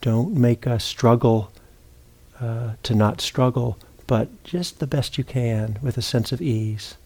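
A man teaching meditation, giving gentle, unhurried guided instructions with long pauses between phrases. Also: medium pitch, slightly rough.

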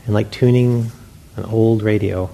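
Low, mellow man guiding meditation. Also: steady tone, vocal fry. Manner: unhurried, gentle guidance with long pauses. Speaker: meditation teacher. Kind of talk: guided meditation instruction to a group. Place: meditation hall with a group. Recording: faint background noise.

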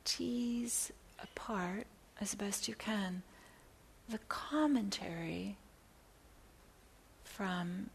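A woman leads a guided meditation in a gentle, hushed voice that often thins almost to a whisper. Her delivery is very slow, with short phrases separated by long silences. Her pitch sits in a middle range, and a light hiss runs behind the recording. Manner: soft, breathy, near-whispered delivery; gentle, unhurried, with long pauses. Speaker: meditation teacher. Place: meditation center. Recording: clear background hiss.

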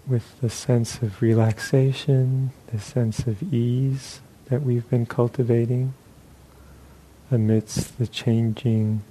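A man leading a guided meditation as a teacher, speaking in short, unhurried phrases with long pauses between them. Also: medium pitch, level tone, warm tone, slight background noise, low-quality recording.

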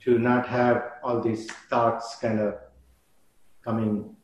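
Halting man in a very echoey room. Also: mid-range voice, level tone. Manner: slow, halting, many restarts. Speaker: participant. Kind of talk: casual sharing. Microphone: video call.

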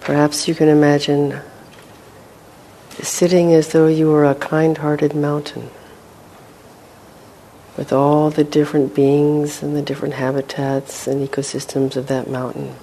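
A woman leading a guided meditation as a teacher, speaking gently and without hurry, with long pauses. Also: flat delivery, low-quality recording. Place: meditation hall with a group. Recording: faint rumbling background noise.

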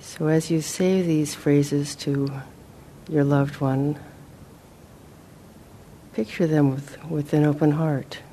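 A woman leading a guided meditation as a teacher, speaking gently and in a measured way with long pauses. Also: deep voice, fairly even intonation, a little hiss or hum.

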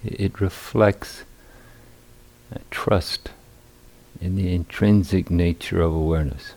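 A man teaching guided meditation at a retreat, speaking in deliberate, hesitant phrases with long pauses. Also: low voice, steady tone, darkish tone, low-quality recording.

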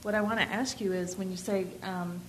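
A woman's voice: mid-range voice, steady tone, fairly quick, echoey room, slight background noise, poor audio. A woman student in a meditation class asking a long, rambling question, searching for her words and restarting often. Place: meditation center hall.

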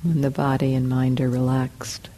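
A woman teaching a guided meditation in calm, measured short phrases with long pauses between them. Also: very deep voice, slight background noise. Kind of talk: guided meditation, slow spoken instructions.

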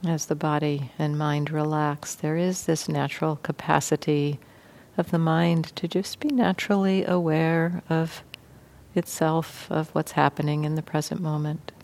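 A woman leads a guided meditation in a low-pitched voice with some fry in it. She speaks very slowly and gently, leaving long pauses between short instructions. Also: little pitch movement. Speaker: meditation teacher.

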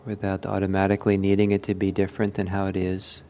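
A man leading a guided meditation as a teacher, speaking in short, measured phrases with long pauses. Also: deep voice, completely flat delivery, mellow, slight background noise.

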